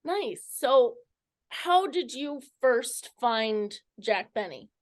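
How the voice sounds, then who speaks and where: A woman's voice: fairly high pitch, sing-song, very slow. A woman hosting an interview and introducing her guest. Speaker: interviewer, host.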